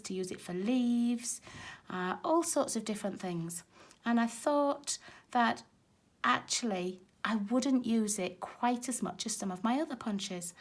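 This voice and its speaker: A woman with a raspy, hoarse voice from a cold, chatting casually as she demonstrates card making at her craft table. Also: fairly high pitch. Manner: chatty and explanatory, talking while working with her hands. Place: craft table at home, filmed from overhead.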